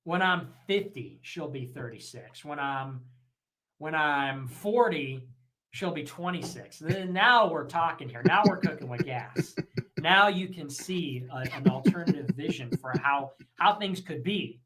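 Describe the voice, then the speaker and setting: A man's voice: fairly high pitch, animated, measured pace, crowd noise. A man ranting provocatively in a played clip, brash and mocking, speeding up in bursts. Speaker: online streamer in a clip. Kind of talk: provocative rant.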